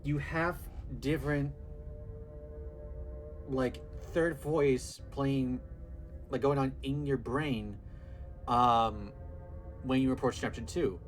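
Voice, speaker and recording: A man's voice: medium pitch, steady tone, takes it slowly, pauses often, soft background music. A man casually commenting on a horror game he is playing, rambling and hesitant, with frequent fillers and laughter. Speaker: gameplay video host. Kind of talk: casual let's-play commentary.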